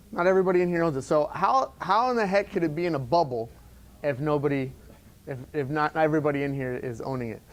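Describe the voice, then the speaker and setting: A middle-aged man giving a loose, conversational talk to an audience at an event, with frequent fillers and restarts. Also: on the high side. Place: event room before an audience.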